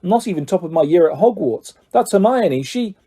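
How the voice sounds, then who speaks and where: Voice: medium pitch, animated, brisk, dark tone, slightly rough. A reader voicing a polite, nervous young boy wizard who hesitates and stammers. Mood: worried, hushed.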